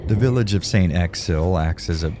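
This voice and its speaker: A man's voice: low voice, moderate pace, background noise. A man narrating a video game review voice-over in a casual, candid way with a lightly wry edge.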